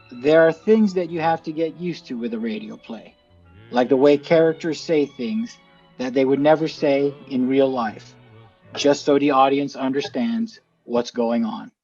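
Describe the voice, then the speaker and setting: A man presents a scripted introduction in a mid-pitched voice, measured and articulate. Soft music sits behind him. The sound is a little dull. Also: husky. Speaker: team representative introducing the show.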